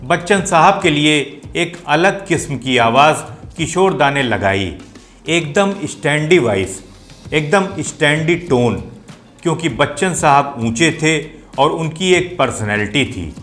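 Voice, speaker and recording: A man's voice: on the high side, expressive, unhurried, fairly bright tone. A man hosting a talk on playback singing, explaining with enthusiasm and admiring rhetorical asides.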